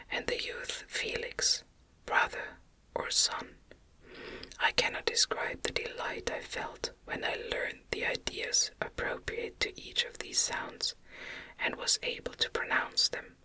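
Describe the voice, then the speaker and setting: A woman narrating a literary novel in a gentle, unhurried ASMR whisper. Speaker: audiobook narrator.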